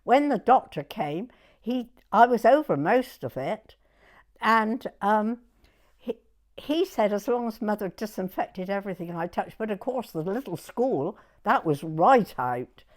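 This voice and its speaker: An elderly woman in her nineties with a southern English accent tells her memories informally. She speaks slowly and wanders, often dropping a sentence halfway and starting it another way. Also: mid-range voice, animated, darkish tone. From Dorset, England. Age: 90s. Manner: rambling, often breaking off and rephrasing mid-sentence. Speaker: oral history interviewee. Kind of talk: informal spoken reminiscence.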